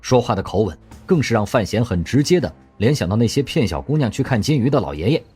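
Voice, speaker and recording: Breathy, lively male narrator. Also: mid-range voice, fast. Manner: expressive storytelling. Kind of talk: scripted audio drama narration. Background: faint music.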